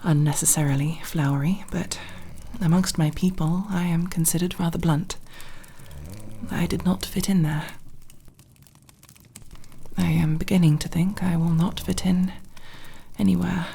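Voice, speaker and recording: A nervous, earnest elf rogue played by a woman in an ASMR fantasy roleplay. Her voice is low, soft and mellow, with a breathy edge that often slips toward a whisper. She chooses formal words with naive sincerity and slight hesitation. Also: fairly even intonation, measured pace, full character voice. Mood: shy, wistful.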